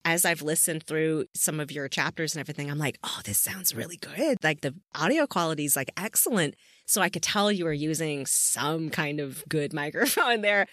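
Woman interviewer, informal and effusive, slightly low voice, dry studio-like sound. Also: expressive, moderate pace, few pauses, fairly bright tone, no echo. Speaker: podcast interviewer and host. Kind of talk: casual conversational interview.

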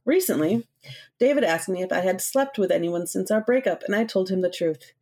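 A woman's voice: mid-range voice, a little fast, darkish tone. A young woman co-hosting a casual podcast, easygoing and a bit hesitant, reading out a listener letter. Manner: easygoing, hesitant, backtracks while telling. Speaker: podcast co-host.

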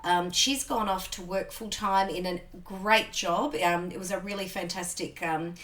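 A woman's voice: fairly low pitch, a little slow, a bit bright. A woman, hosting a podcast in a chatty way with many ums and restarts. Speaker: podcast host. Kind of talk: casual conversational interview.

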